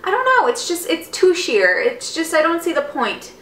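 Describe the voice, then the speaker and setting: A woman's voice: extremely high pitch, huge pitch swings, normal speed, a bit bright, reverberant, slight background noise. A young woman in her twenties hosting a beauty channel, chatting upbeat through a nail polish review at home. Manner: chatty, upbeat, repeats words for emphasis. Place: living room at home.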